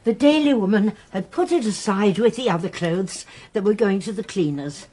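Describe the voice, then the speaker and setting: An actress playing a shrewd, courteous elderly English lady sleuth in a radio drama, speaking in a gentle, measured, genteel way. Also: mid-range voice, expressive, slight background noise, natural voice. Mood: polite, helpful. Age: older.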